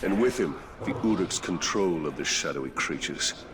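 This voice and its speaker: A man's voice: low voice, animated, normal speed. A man playing a stern, resolute ranger captain in a video game, speaking formally and with dry wit. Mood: grave. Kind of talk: video game character acting. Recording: distant miking, loud game ambience noise.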